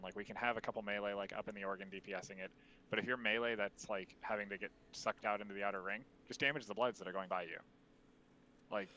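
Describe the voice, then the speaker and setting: Streamer leading a raid, deep voice held soft, bright edge, casual fillers. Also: male voice, a little hiss or hum, poor audio. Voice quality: deep voice, speaks quietly on purpose. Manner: explaining, loose with many fillers. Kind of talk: casual gaming voice chat.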